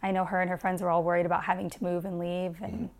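A woman's voice: lowish voice, flat delivery, fast talker. A young adult woman from the United States, a stand-up comedian guest chatting casually and laughing often at herself in an interview.

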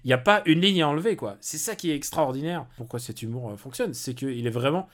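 A man's voice: medium pitch, lively intonation, fast talker, fairly bright tone. A man speaking as a podcast guest and comedy film critic, giving opinionated views in long, rambling stretches of casual talk.

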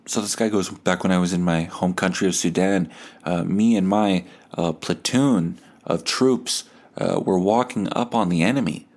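A man hosting a podcast, telling stories in a casual, comedic, animated way with some swearing. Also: low-pitched. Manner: animated, acts out quoted dialogue; profane. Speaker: podcast host.

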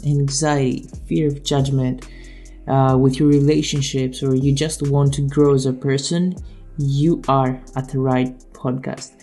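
A man's voice: mid-range voice, dark tone. A young man from Greece hosting his own podcast, talking in an earnest, motivational way with some hesitation. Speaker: podcast host. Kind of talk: solo podcast introduction.

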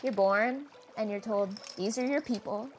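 A woman's voice: medium pitch, normal speed, a little mellow, slight background noise, close to an everyday voice. A woman playing a frank, wry teenage girl in a comedy radio play, talking in a confiding monologue.

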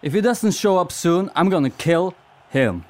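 A man's voice: high voice, sing-song, moderate pace. A man from Norway playing an impatient, brash young band member in an amateur radio play, urgent in manner. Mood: exasperated.

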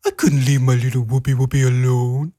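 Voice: medium pitch, level tone, a little fast, dark tone, lightly acted. An actor as a pleading, whiny pet lover. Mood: pleading, doting.

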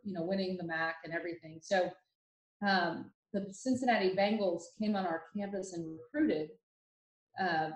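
Young woman guest, lowish voice, chatty storyteller, echoey room. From Ohio, USA. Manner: chatty and animated, many fillers and restarts. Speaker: podcast guest. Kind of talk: casual storytelling interview. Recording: roomy echo.

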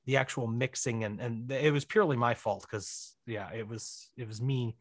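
A man chatting as an interview guest, wry and self-deprecating, often halting as he explains things. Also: mid-range voice, no echo, studio quality. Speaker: podcast guest, writer and director. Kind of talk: casual conversational interview.

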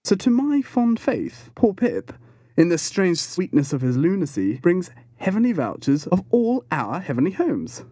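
A solemn ship's officer voiced by a male narrator in a classic sea tale. He muses in a reflective murmur, fairly high for a man, with expressive rises and falls. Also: measured pace, slightly rough, dry recording, clean studio sound, full character voice. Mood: solemn wonder.

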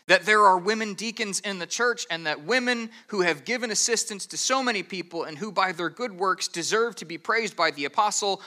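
A man's voice: high voice, bright, forceful tone. A man preaching an expository sermon as a pastor, explaining step by step in an earnest, methodical way, with the odd stammered restart. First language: English.

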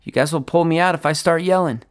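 A man's voice: fairly high pitch, fast, smooth, dry recording, studio quality, voice pushed up, lightly acted. A man voicing a timid, worried boy, nervous, hesitant and unsure of himself in his speech. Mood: fretting. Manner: nervous, hesitant, stammering at times.

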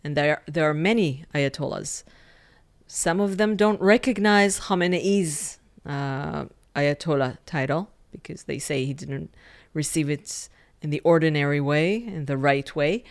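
Low-voiced woman expert explaining. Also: unhurried. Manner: corrective, explanatory, frequent restarts. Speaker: guest expert on Iran. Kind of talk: interview answers.

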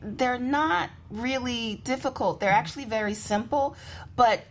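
A woman's voice: a little high, unhurried. A woman speaking as an expert guest in a radio interview, explaining things emphatically. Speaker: marketing consultant, show guest.

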